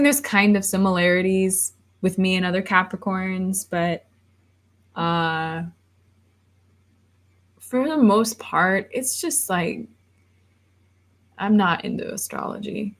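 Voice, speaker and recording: A woman's voice: mid-range voice, slow, long pauses. A woman in her thirties, rambling casually on a livestream and reading viewer comments aloud before answering them. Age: 30s. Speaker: livestream host.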